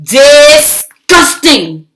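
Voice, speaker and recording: A woman's voice: high-pitched, very animated, very slow, fairly bright tone, pitched above the performer's own voice, heavily acted. A woman voicing a bossy, jealous little girl mouse in a storybook, dramatic, scornful and emphatic. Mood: haughty, disgusted. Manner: emphatic, declaring.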